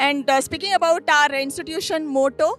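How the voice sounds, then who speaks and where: Slow, high-voiced Indian woman lecturer. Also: fairly bright tone, faint music behind. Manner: formal, measured, careful. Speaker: college lecturer and commerce coordinator. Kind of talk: prepared institutional introduction in English.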